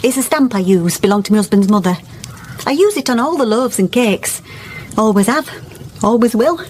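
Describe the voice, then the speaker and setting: A woman's voice: on the high side, expressive, moderate pace, a little mellow, a little hiss or hum, lightly acted. A woman playing a kindly, pious village wife in a radio drama, chatty and earnest, with a rural English accent. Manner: chatty, homely, earnestly persuasive.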